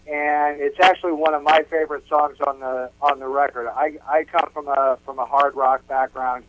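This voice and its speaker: A man's voice: on the high side, level tone, moderate pace, no room sound, narrow, tinny audio. A man speaking as a musician guest in a casual interview, repeating words as he explains.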